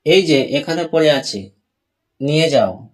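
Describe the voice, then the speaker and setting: A man voicing a grieving, vengeful father goat in a cartoon animal fable, speaking in a measured, stern way. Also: a little high, animated. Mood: feigned friendliness.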